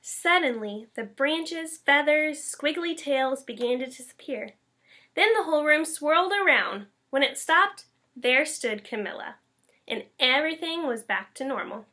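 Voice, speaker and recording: A woman reading a children's story aloud in an expressive, emphatic school read-aloud style. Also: high-pitched, unhurried. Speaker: elementary school staff member reading aloud.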